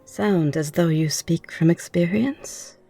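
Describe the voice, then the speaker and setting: A woman's voice: lowish voice, slow pace, creaky, warm tone, exaggerated voice. A woman playing a curious, optimistic newly turned vampire, speaking in formal, genteel and reassuring phrases for an ASMR roleplay. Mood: curious, upbeat. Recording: music behind the voice. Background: music.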